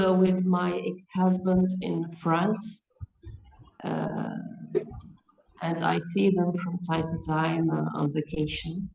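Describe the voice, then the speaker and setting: A middle-aged Tunisian woman whose native language is Arabic, speaking haltingly with a Tunisian accent as a guest poet introducing herself. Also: fairly low pitch, vocal fry, darkish tone, echoey room, highs cut off. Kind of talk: self-introduction in an interview.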